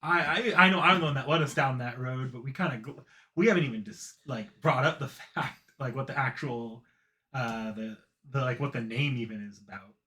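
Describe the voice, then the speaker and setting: A man's voice: medium pitch, fairly quick, pushed and bright. A man co-hosting a casual anime discussion, hesitant and often restarting his phrases. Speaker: podcast co-host.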